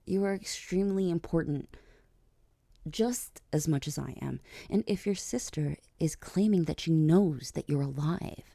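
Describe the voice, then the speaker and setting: A woman acts out a regal vampire queen for an ASMR audio drama. Her voice is low and mellow, recorded very dry and close. She speaks with measured, hushed poise and a teasing streak. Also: vocal fry, dry recording, full character voice. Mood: measured, serious. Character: regal, teasing vampire queen, composed older-cousin type. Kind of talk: ASMR audio roleplay acting.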